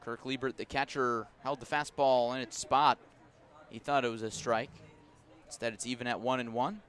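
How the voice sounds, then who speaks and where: A man doing live radio baseball play-by-play, describing each pitch in detail and filling in with player stories. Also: normal speed. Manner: descriptive, storytelling between pitches, rising to excited calls on big plays.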